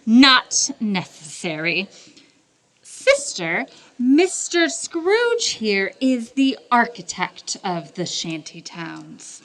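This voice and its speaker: A formidable, reproachful Mother Superior, acted by a woman for a radio play. Her voice is fairly high and very animated in pitch, yet slow. She admonishes with measured authority. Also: full character voice, poor audio. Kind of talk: stage radio drama acting.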